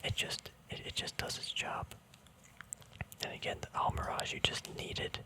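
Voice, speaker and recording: A man speaking in a soft, breathy whisper in a casual, relaxed ASMR style, thinking aloud close to the microphone. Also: normal speed. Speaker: ASMR video creator. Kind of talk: whispered ASMR, casual rambling card showcase.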